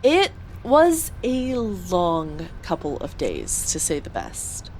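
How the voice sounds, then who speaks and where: A woman's voice: lowish voice, wildly swooping pitch, takes it slowly, darkish tone, a little hiss or hum, poor audio. A woman narrating a first-person audio drama expressively, acting out her own lines as she goes. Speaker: author and narrator of an audio story.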